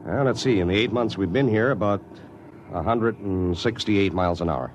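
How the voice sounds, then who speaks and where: Male actor as worried space crewmate, lowish pitch, earnest, cautious, old-time radio drama, slightly noisy recording. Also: moderate pace, lightly acted. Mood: calm. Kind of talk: 1950s radio drama acting.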